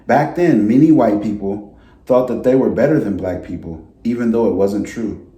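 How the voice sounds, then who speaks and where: A man's voice: on the low side, normal speed, dark tone, lightly acted. A man voicing a patient storybook mother who explains things in a reassuring way. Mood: patient, explaining. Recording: roomy, echoey indoor sound.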